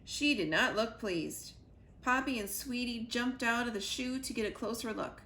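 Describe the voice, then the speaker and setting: A woman librarian reading a children's chapter book aloud, lively, jumping up in pitch for the characters. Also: moderate pace, echoey room, slight background noise. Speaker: school librarian. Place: school library.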